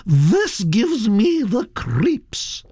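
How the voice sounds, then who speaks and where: A narrator voicing an imperious, gossipy aristocratic older woman in a story, brisk, blunt and disapproving. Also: mid-range voice, wildly swooping pitch, warm tone, breathy edge, no echo, studio quality, voice pushed up, full character voice. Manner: autocratic, brisk, disapproving, hesitating with uhs.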